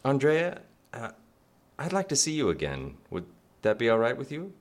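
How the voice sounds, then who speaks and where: An actor playing an attentive, earnest suitor with a hesitant, courting manner in a radio drama. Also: male voice, medium pitch, huge pitch swings, moderate pace. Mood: tender, hesitant. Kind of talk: live radio drama acting.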